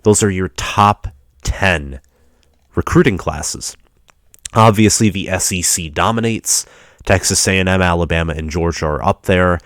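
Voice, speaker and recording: A man's voice: low-pitched, measured pace, fairly bright tone, no echo, studio quality. A young man with a stuffy, congested voice, chatting casually as a fan about college football recruiting. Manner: chatty fan talk with restarts. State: congested from a cold. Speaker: sports vlogger.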